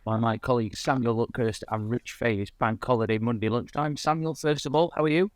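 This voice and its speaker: An English man hosting a football podcast, introducing guests and asking questions in smooth, prepared-sounding links. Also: mid-range voice, steady tone, a little fast, no echo, clean studio sound. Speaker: podcast host.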